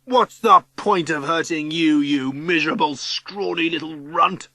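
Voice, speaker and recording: An angry, hurt schoolboy acted by a man from a storybook, raised in pitch and forceful. He is hot-tempered and accusing, shouting his words. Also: unhurried, dry recording, full character voice. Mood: angry, exasperated.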